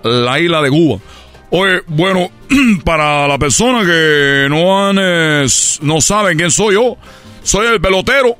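A man's voice: fairly high pitch, lively intonation, slow pace, bright, forceful tone, soft background music, heavily acted. A man doing a brash Cuban ballplayer. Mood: boastful. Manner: rambling, boastful, stumbles over words. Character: boastful Cuban baseball player man, brash joker. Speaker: comedy character on a radio show. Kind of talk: comedic radio sketch.

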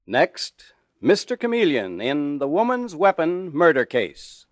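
1940s radio announcer, dramatic higher-voiced man. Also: wildly swooping pitch, a little slow. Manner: formal, dramatic delivery. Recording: old 1940s radio broadcast with music. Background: music.

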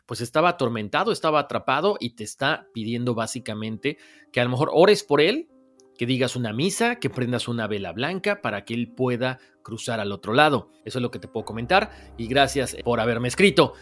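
A man with a Latin American Spanish accent hosting a mystery show, talking conversationally and reading listener stories in an expressive storytelling way. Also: medium pitch, brisk, soft background music. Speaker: host of a paranormal stories show.

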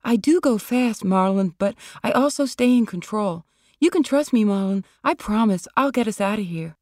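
A reader playing a gentle, boyish male celebrity, earnest and eager to please, in an audiobook story. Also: high-pitched, lively intonation, normal speed, dry recording, clean studio sound, pitched above the performer's own voice. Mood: eager, reassuring.

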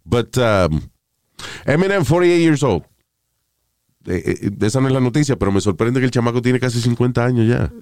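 A man's voice: medium pitch, expressive, normal speed, a little mellow, no echo. Rambling joking male host, very dry. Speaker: show host. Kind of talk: casual studio banter.

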